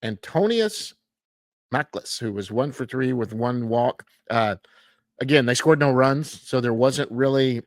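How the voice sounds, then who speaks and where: A man's voice: mid-range voice, animated, unhurried, dry recording. A man hosting a podcast, recapping minor league baseball in a casual, folksy way, full of fillers and stumbles over players' names. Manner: self-deprecating, folksy.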